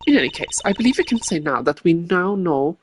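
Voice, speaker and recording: A man voicing a composed, haughty video game prosecutor who reasons in a formal, measured, exasperated way over music. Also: high-pitched, big pitch swings, slight background noise, voice pushed up. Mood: explanatory. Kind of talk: video game character dub. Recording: music under the voice.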